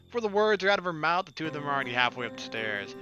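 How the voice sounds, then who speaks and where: A man's voice: fairly high pitch, very animated, rapid-fire, pushed and bright, muffled recording. A young man reading a visual novel aloud as a let's player, with casual, irreverent asides. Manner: playful, irreverent. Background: music.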